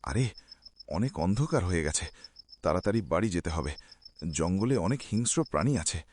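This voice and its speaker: A man's voice: low-pitched, lively intonation, moderate pace, a little mellow. A man playing a young cartoon villager talking to himself, with faint noise behind. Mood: puzzled, wary. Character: young village man muttering alone in the dark.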